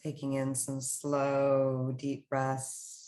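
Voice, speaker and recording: A woman leading a guided meditation, speaking in short, soothing, instructional phrases with unhurried pauses. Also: extremely low pitch, monotone, warm tone.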